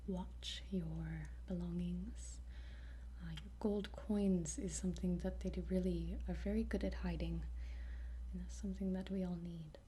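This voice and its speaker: A woman speaking in a soft, breathy whisper, gently and hesitantly explaining things in an improvised ASMR roleplay, with frequent pauses. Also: lowish voice, fairly even intonation, a little hiss or hum. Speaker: ASMR performer playing a dragon training guide.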